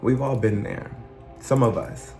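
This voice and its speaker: A man talking in a casual, earnest monologue, his voice still rough from being sick, often circling back and restarting his phrases. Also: medium pitch, fairly even intonation, a little slow, darkish tone, roomy sound, soft background music. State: recovering from illness, voice still rough. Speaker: channel host.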